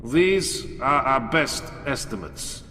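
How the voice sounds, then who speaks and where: A man voicing a sober, dutiful military commander giving formal, deliberate briefings in a dramatic war story. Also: mid-range voice, animated, sharp tone. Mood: sober, resigned. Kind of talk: dramatic audiobook character acting. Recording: long hall reverb, music underneath.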